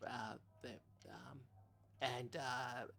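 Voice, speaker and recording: A man co-hosting an improvised comic podcast, talking in a halting, hesitant and rambling manner. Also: medium pitch, level tone, vocal fry, pushed and bright. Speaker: podcast co-host.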